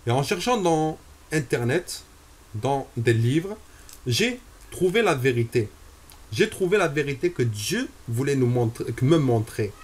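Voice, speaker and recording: Homme, 20s, from Algeria, unhurried testimony, hesitant, measured. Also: male voice, medium pitch, sing-song, husky. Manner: slow and deliberate, with frequent hesitations. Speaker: convert giving a testimony. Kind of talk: personal religious testimony.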